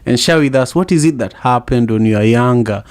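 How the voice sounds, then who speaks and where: A man's voice: mid-range voice, brisk. Reflective male podcast host, conversational. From Africa. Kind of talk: conversational interview with personal reflection.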